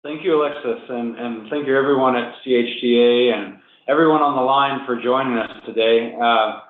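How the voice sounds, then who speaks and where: A man presenting a business webinar, upbeat and conversational, a little hoarse and nasal from a cold. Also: mid-range voice, fairly even intonation, moderate pace. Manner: upbeat, conversational, frequent fillers and restarts. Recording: tinny phone-line audio with heavy echo.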